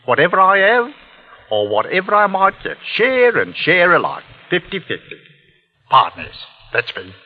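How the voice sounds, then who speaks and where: An actor playing a scheming, wheedling working-class crook in an old-time radio drama, with a sly, coaxing manner. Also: male voice, sits high, wildly swooping pitch, normal speed, heavily acted. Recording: muffled vintage radio recording.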